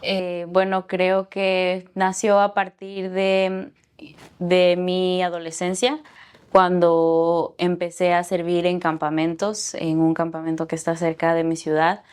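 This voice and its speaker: Woman in her twenties from Ecuador, guest voice, somewhat low, soft and halting delivery. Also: completely flat delivery, smooth. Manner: soft-spoken, hesitant with many fillers. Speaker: graduating seminary student, guest. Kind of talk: interview answers.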